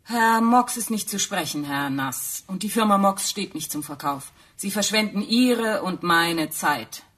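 A woman's voice: medium pitch, animated, measured pace, fairly bright tone. A woman playing a frosty, formal executive assistant who screens callers in a radio play. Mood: cool, curt. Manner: curt, formal, dismissive. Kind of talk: German radio play acting.

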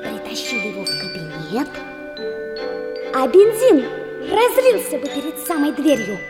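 A woman's voice: sits high, wildly swooping pitch, a little slow, heavily acted. An actress playing a proud schoolgirl dreaming of glory in a radio play, dramatic and self-important. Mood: dreamy, scheming. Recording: reverberant radio play, loud music behind.

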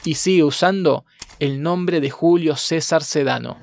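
A male narrator with a fairly high voice reads a scripted documentary chronology. Typewriter clicks and faint noise sit behind him. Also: measured pace, gravelly, a little mellow. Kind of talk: scripted documentary narration, reading dated events.

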